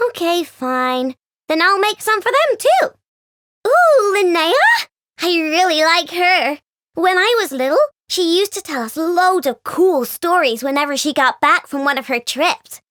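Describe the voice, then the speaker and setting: A woman voicing an excitable, chatty young female inventor who builds robots. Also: very high-pitched, a little slow, dry recording, studio quality, heavily acted. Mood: bubbly. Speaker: game character voice. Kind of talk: recorded game voice lines.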